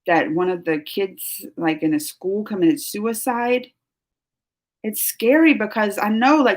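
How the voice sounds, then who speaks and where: A woman in her thirties hosting a casual live stream, talking in an emotional, rambling way with many restarts and fillers. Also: fairly high pitch. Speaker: livestream host.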